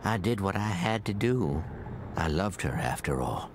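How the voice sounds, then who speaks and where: Game role: weary old male psychic agent, low gravelly voice full of regret, swinging intonation, music behind. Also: animated, a little slow, noisy background. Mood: remorseful, haunted. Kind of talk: video game cutscene acting. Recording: loud music under the voice.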